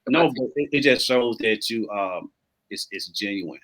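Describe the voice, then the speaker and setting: A man's voice: lowish voice, animated, measured pace, fairly bright tone. A man hosting a talk show and working as a life coach, chatty and affirming as he interviews a guest. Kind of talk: casual interview.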